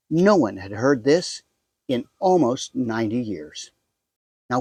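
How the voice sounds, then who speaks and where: A man's voice: medium pitch, dry recording. An American man hosting an introduction to a radio drama, talking casually with wry, chatty asides. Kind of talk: casual scripted introduction to camera.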